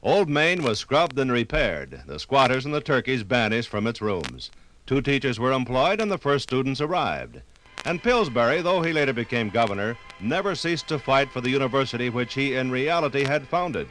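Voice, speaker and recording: An American man narrates a 1950s radio documentary in a formal, theatrical style with measured phrasing. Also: medium pitch, very animated, a bit bright, slight background noise, rough recording. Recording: vintage 1950s radio recording.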